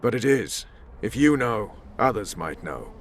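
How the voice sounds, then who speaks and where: A man's voice: on the low side, big pitch swings, a little slow, a little hiss or hum. A man acting a hard, blunt soldier and spymaster in a fantasy video game, clipped and intense. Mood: guarded, grim.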